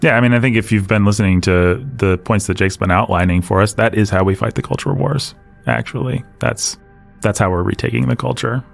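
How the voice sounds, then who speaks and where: Male podcast host, low register, easygoing conversational delivery over soft background music. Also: a little fast, creaky, hardly any pauses. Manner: conversational, laughs while talking. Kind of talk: casual discussion.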